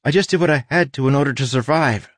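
A man's voice: mid-range voice, fast talker, dry recording, pitched above the performer's own voice, lightly acted. A man voicing a timid, earnest young island girl in an audiobook, hesitant and apologetic. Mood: embarrassed.

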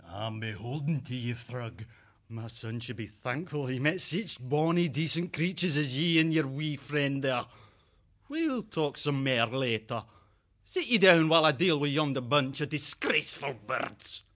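A man's voice: medium pitch, expressive, a little slow, fairly bright tone. A man voicing a proud old male falcon clan chief with a Scottish accent, hearty and commanding. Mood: grave.

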